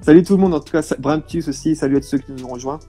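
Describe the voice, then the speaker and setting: Live-stream host, man, friendly, warm low-key tone, greets viewers. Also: racing, soft background music. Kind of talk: casual live-stream interview.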